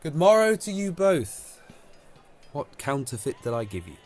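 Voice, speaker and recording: A man's voice: on the high side, very animated, a little slow, a little mellow, faint music behind. A man playing a young, courteous, bantering lover in a Shakespeare audio drama. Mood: playful banter.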